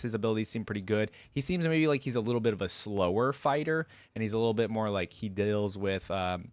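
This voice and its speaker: A man hosting a reaction video, giving informal, excitable commentary and thinking aloud as he goes. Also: on the low side, no room sound. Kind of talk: casual reaction commentary with some reading aloud.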